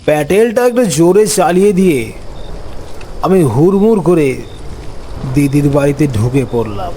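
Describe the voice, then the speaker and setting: A man narrating a scripted first-person story as an uncle, with unhurried, expressive dramatic delivery. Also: fairly high pitch, a little hiss or hum.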